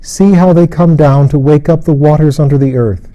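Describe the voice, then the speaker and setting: A man voicing a serene, wise and kindly fairy-tale woman in a storybook, with calm, solemn, poetic phrasing. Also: fairly high pitch, normal speed, dull audio, pitched above the performer's own voice, close to an everyday voice. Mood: gentle wonder.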